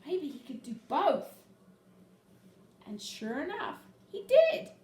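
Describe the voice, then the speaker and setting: Animated woman reading a children's storybook. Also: high-pitched. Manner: animated, deliberate, with drawn-out words for emphasis. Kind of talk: expressive storybook read-aloud for young children. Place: living room at home. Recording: echoey living room, faint background noise.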